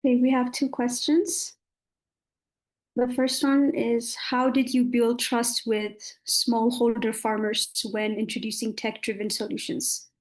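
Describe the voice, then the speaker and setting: A woman's voice: fairly high pitch, level tone, a little slow, poor audio. A woman moderating a webinar, reading audience questions out over a video call.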